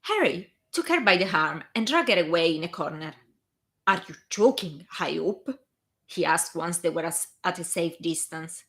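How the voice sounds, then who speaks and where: A woman's voice: lowish voice, moderate pace, few pauses, a bit bright. A woman with a strong Italian accent who reads a story aloud on a live stream, with chatty, self-conscious asides. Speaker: fan fiction reader and live streamer.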